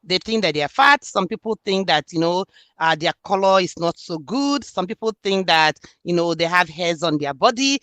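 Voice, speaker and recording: A woman's voice: fairly low pitch, expressive, normal speed, fairly bright tone, no echo. A woman from Nigeria with a Nigerian accent, speaking earnestly and reflectively in an interview about her book. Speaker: author and student. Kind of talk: interview conversation.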